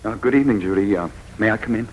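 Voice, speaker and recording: A man's voice: lowish voice, rapid-fire, natural voice. A man playing a tormented, murderous radio-drama husband. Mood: hesitant, pleading. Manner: menacingly polite, melodramatic. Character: tormented murderous husband, smooth then crazed. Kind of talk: 1940s radio drama acting. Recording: noisy vintage 1940s radio recording.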